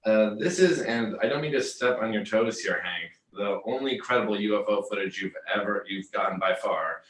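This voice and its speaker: An easygoing repair shop worker acted by a man, speaking fairly low in an echoing room. The talk is casual and slangy, full of friendly ribbing, as in a comedy script read. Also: normal speed, fairly bright tone, recorded from a distance, natural voice. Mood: teasing, skeptical.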